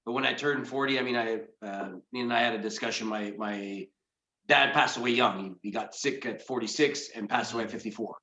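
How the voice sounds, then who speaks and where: Bright-voiced man in his forties, echoey room. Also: medium pitch, fairly quick. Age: 40s. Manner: joking, hesitant restarts. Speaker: guest. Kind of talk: casual interview talk. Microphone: video call. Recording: echoey video-call audio.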